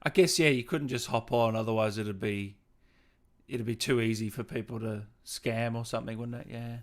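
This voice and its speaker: A man's voice: mid-range voice, steady tone, moderate pace. A man hosting a casual livestream interview, chatting and reading out comments from viewers. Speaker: interview host.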